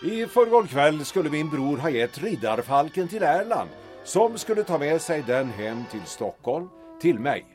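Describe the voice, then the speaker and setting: Haughty, formal gentleman, very animated delivery. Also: on the high side, hardly any pauses, faint music behind. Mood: cool, curt. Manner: formal, condescending, theatrical. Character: haughty, formal Stockholm gentleman, grieving brother, cutting. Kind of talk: period radio drama acting.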